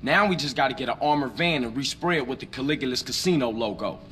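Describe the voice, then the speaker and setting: A man's voice: mid-range voice, expressive, quick pace, fairly bright tone, close to an everyday voice. Man playing a blunt, streetwise crew boss. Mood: commanding. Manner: blunt, commanding, casual slang. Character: bold, streetwise young male gangster leading a heist. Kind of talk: video game cutscene acting. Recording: faint background noise.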